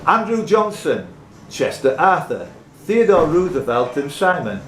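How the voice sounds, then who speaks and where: A man's voice: a little high, very animated, a little slow, fairly bright tone, a little hiss or hum. A man hosting a live pub quiz, reading out questions with jokey, teasing, chatty asides. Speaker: quiz host. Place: indoors in a pub. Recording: roomy echo, faint music behind.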